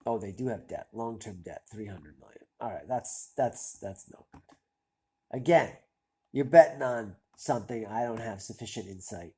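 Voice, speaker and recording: A man's voice: fairly low pitch, normal speed, warm tone, breathy edge. A man with a nasal voice and a stuffy nose from a cold, hosting a stock-picking channel and thinking aloud in a hesitant, self-deprecating ramble. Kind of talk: casual thinking-aloud commentary.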